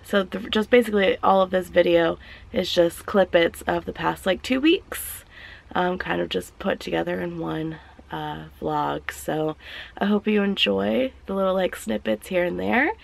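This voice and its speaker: A woman vlogging casually while sick, her voice raspy and hoarse, tired and short of breath, with small laughs between sentences. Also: fairly low pitch, a little slow. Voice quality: raspy, weak voice. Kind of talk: casual vlog chat.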